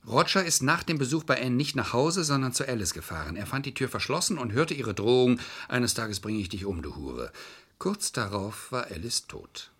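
Husky, coolly calculating male lawyer. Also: mid-range voice, expressive, moderate pace, a bit bright. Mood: pointed. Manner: controlled, insistent, formally polite. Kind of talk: German crime radio play acting.

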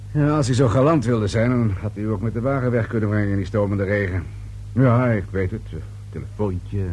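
An actor playing a dry, persistent police inspector in a radio play, questioning in a measured, searching way. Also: male voice, on the low side, a little mellow, lightly acted. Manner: measured questioning with hesitant fillers. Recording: hummy, noisy old radio recording.

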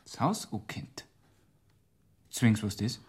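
An actor playing a beleaguered police inspector with a Bavarian accent, gruff, curt and defensive, in a radio drama. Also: male voice, lowish voice, expressive, slow. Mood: probing.

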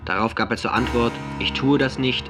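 A man's voice: medium pitch, steady tone, fairly quick, natural voice. Dry, clipped prison officer over music man acting. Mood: bureaucratic, dry. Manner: clipped, bureaucratic. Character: dry male prison officer reading incident reports. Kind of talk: formal report reading in radio docudrama.

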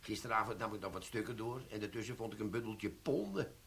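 An actor playing a sly, glib army quartermaster sergeant in a radio play, talking with cheeky, wheedling banter. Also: male voice, on the low side, fast, creaky, fairly bright tone, breathy edge, exaggerated voice. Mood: evasive.

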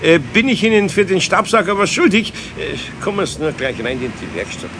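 An actor playing a good-natured middle-aged Bavarian master carpenter in a children's radio play, hesitant and flustered in speech. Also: male voice, high-pitched, sing-song, brisk, background noise. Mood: polite, surprised. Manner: hesitant, stammering, evasive. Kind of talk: 1970s German children's radio play acting.

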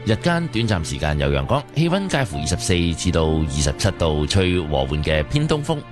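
Super low hong kong guy doing late night radio links and weather over music. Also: very animated, brisk. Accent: Hong Kong Cantonese. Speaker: radio presenter.

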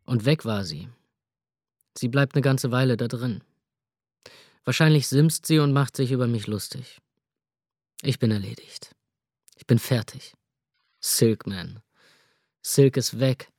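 A man narrating in the first person in a radio drama, rough and weary, with coarse language. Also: medium pitch, unhurried, pauses often, darkish tone, no echo, studio quality. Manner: rough, weary inner monologue with coarse words. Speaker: narrator and lead character.

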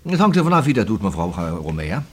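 An actor playing a persistent, ironic police detective in a radio play, speaking formally and unflappably. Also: male voice, on the low side, big pitch swings, quick pace, breathy edge. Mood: sardonic.

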